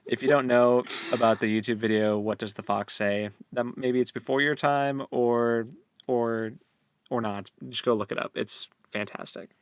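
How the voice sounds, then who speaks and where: A young American man chats casually as a co-host, in a close, dry recording. His pitch sits in the middle for a man, and he retells things in a jokey, conversational way. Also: steady tone, normal speed, clean tone, no room sound. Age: late 20s. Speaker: podcast co-host. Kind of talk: casual book club chat.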